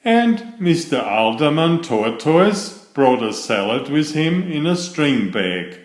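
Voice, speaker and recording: A man reading a children's story aloud in an expressive, deliberate, dramatic manner, stretching key words. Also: medium pitch. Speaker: children's story narrator and author. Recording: roomy, echoey sound.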